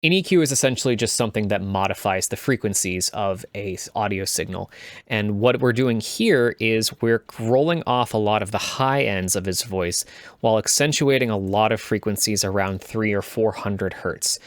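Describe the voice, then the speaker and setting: A man presenting a casual tutorial as a game sound designer, explaining things in a measured way. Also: on the low side, no room sound, studio quality.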